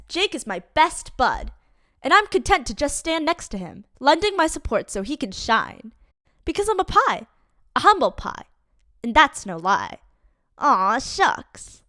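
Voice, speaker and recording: Lively woman reading a kids' story. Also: sits high, no echo, studio quality. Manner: lively, expressive reading for kids. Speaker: children's book reader. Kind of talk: read-aloud storytime.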